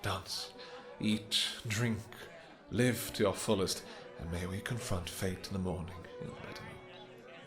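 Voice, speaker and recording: A man's voice: low-pitched, unhurried, music in the background. A man playing a possessive, courtly vampire king in a romantic fantasy audio roleplay, speaking silky endearments with mock-polite menace. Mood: doting, flirtatious.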